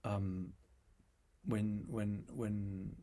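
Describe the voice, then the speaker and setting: A man with a non-native accent, speaking as an interview guest in a reflective, halting way and often restarting his phrases. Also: on the low side, little pitch movement, mellow. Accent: non-native English accent. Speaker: guest musician. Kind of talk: casual conversation.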